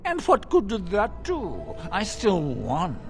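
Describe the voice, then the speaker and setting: An actor playing a smug, power-mad, demon-possessed mage villain in a video game, speaking with theatrical, condescending emphasis. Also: male voice, high-pitched, wildly swooping pitch, takes it slowly, faint music behind, exaggerated voice. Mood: gloating, mocking. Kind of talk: dramatic video game acting.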